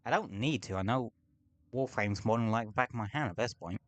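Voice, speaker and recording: A man's voice: lowish voice, brisk, fairly bright tone, no room sound. A man streaming a game, talking casually in rambling, sweary asides at home. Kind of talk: casual gameplay commentary. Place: home room with window open.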